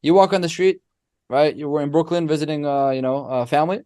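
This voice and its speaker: A man, a podcast guest who explains ideas through animated, restart-filled stories. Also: a little high, quick pace, clean tone, dry recording, clean studio sound. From Israel. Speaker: guest, company co-founder. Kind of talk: casual podcast interview.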